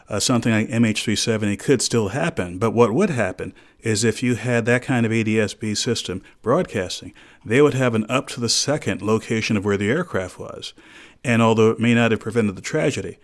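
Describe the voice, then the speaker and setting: A man with a fairly low voice speaks as an expert guest on a radio interview. He explains things in a measured, precise way, with formal, carefully built phrasing.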